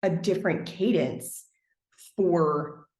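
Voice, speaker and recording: A woman's voice: slow pace, a little mellow, reverberant, low-quality recording. A woman talking at length as a podcast guest, thoughtful and expansive, with many "like" fillers. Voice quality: trained singer, speaks lower than her singing range. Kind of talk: conversational interview.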